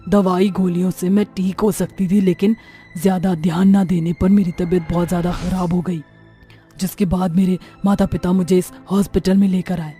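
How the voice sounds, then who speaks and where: एक औरत कार्टून भूत-कहानी में अन्याय से मरी, बदला लेने वाली युवा चुड़ैल की धमकी भरी, गंभीर आवाज़ निभा रही है।. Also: female voice, on the low side, flat delivery, moderate pace, mellow, close to an everyday voice. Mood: bitter, sorrowful. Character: vengeful young female witch, wronged ghost of a patient. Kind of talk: Hindi cartoon horror story acting. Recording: faint music underneath.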